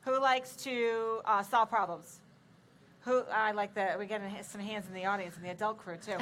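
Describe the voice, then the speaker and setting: A woman's voice: medium pitch, fairly even intonation, normal speed, a bit bright, a little hiss or hum. A woman in her forties moderating an outdoor panel, upbeat and enthusiastic as she speaks to the audience. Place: outdoor stage. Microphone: handheld mic.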